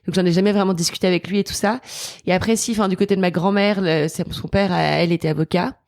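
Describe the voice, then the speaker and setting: A woman's voice: mid-range voice, steady tone, quick pace, darkish tone, dry recording. A French woman in her thirties, a guest chatting casually in an interview, hesitant with many fillers and frequent laughs. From France. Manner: hesitant, lots of fillers and restarts, laughs often. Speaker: podcast guest.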